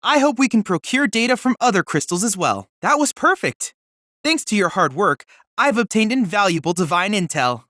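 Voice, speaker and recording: A man's voice: high voice, a bit bright, no echo, studio quality. A man voicing a polite, eager teenage boy android research assistant in a video game, speaking in a precise, formal, articulate way. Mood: excited, impressed. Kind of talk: scripted video game character dialogue.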